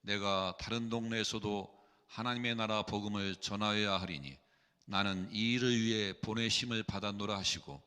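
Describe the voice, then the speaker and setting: Bright-voiced man reading scripture in church. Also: on the low side, moderate pace, smooth. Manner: steady formal reading. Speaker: scripture reader. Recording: long church reverb.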